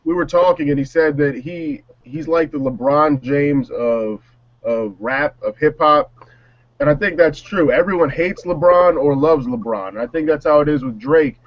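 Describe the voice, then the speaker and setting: A man in a casual panel discussion, talking in a measured, reflective way. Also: a little high, no echo, dull audio. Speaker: panelist on a music talk show.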